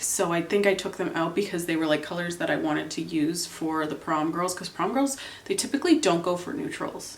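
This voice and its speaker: A woman's voice: on the low side, reverberant. A young woman from Canada, congested and nasal from a cold, chatting casually while she does her makeup. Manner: chatty, rambling, filler-heavy. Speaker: beauty vlogger.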